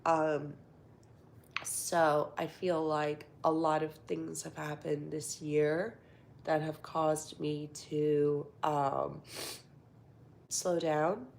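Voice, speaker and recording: Woman host, sick and congested, low gravelly voice, slow and easy delivery, live chat from home, often near a whisper. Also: a little hiss or hum. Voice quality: congested, nasal. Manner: soft-spoken, drifts into half-whispers, many fillers. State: stuffed up with a cold. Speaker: livestream host. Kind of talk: casual live-stream chat.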